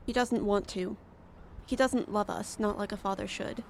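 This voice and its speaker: Creaky, sullen young daughter played by a woman. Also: mid-range voice, fairly even intonation, moderate pace, natural voice. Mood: resigned, sullen. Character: sullen, defeated youngest daughter of troubled family. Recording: faint outdoor roadside noise.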